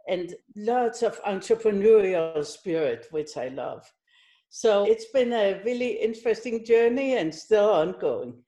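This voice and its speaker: A woman's voice: medium pitch, animated, a little slow, dark tone. A middle-aged woman from Denmark, answering interview questions conversationally as a company executive. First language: Danish.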